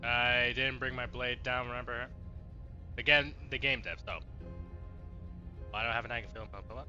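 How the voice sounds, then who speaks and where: A man's voice: medium pitch, fairly even intonation, moderate pace, sharp tone, lo-fi sound, faint music behind. A man doing casual let's play commentary, thinking aloud and reading game choices out.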